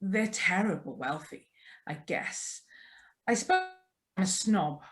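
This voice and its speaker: A woman's voice: fairly low pitch, expressive, unhurried, a bit bright, faint music behind. A woman playing a chatty, nervous young newlywed in a radio drama read over a video call. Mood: nervous, confiding. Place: remote, on a Zoom video call. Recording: live video call reading.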